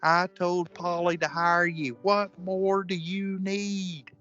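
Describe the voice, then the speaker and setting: A man's voice: high voice, level tone, slow, fairly bright tone, clear, resonant tone, highs cut off. A man acting in a comedic audio play as a crude, hot-tempered old-school wrestler, folksy and profane. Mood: frustrated.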